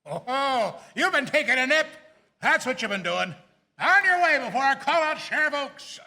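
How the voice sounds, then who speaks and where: A man playing a gruff, suspicious roadside lunch-stand owner in a radio drama, curt and dismissive. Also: very high-pitched, sing-song, moderate pace, pushed and bright, full character voice. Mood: suspicious, scolding. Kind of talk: live radio drama acting. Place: theatre stage.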